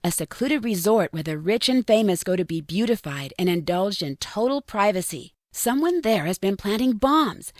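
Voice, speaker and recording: A woman's voice: medium pitch, animated, moderate pace, few pauses, no room sound, clean studio sound, lightly acted. A woman playing a curious, plucky young amateur detective in a video game, articulate and inquisitive as she thinks things through. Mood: composed narration. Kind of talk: scripted video game voice acting.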